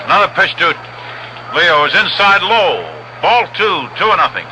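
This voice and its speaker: A man with an American accent giving measured, descriptive live radio commentary as a baseball play-by-play announcer. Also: high voice. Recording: noisy vintage radio broadcast. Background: steady stadium noise outdoors.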